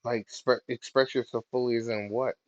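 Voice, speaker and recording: A man making low, quiet deadpan asides in a group podcast chat. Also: moderate pace, lo-fi sound.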